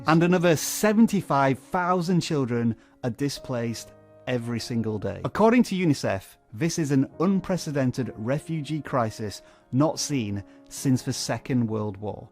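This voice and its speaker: A man reading a scripted charity appeal in an earnest, urgent way. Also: mid-range voice. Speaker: charity campaign narrator. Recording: music bed under the voice.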